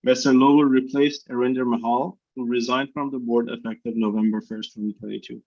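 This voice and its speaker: Male CEO hosting an earnings call. Medium pitch, formal scripted delivery, dull lo-fi call sound. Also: level tone, fairly quick, creaky. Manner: formal, reading prepared remarks. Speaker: chief executive hosting the call. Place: video conference call. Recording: dull, lo-fi conference call audio.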